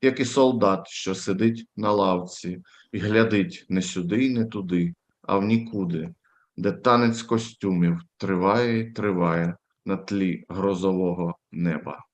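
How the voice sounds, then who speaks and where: A man with a fairly low voice and a Ukrainian accent presents poetry at a live reading. He is a native Ukrainian speaker, speaking as a poet before and during his recitation. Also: a little slow.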